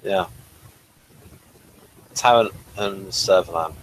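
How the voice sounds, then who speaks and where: A man's voice: sits low, takes it slowly, a little hiss or hum, poor audio. Understated man in casual chat. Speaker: co-host of an episode review show.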